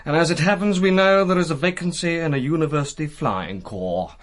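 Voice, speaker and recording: An actor playing a senior British army colonel in a radio drama, courteous and dry, formal and tactfully disapproving. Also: male voice, on the high side, lightly acted. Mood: apologetic, firm. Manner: measured, formal, wryly euphemistic.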